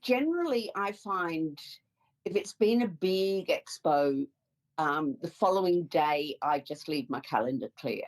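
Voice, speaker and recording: An Australian woman speaks as a guest on a podcast call. Her voice is notably low for a woman, and she answers in a calm, measured manner. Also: rough recording. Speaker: psychic medium guest. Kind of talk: interview answers.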